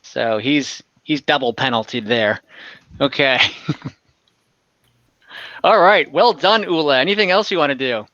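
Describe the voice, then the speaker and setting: A man's voice: medium pitch, animated, unhurried, pauses often, sharp tone, dry recording, lo-fi sound. A man talking casually as dungeon master in an online tabletop game. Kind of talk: casual game-running talk. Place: indoors, online voice call.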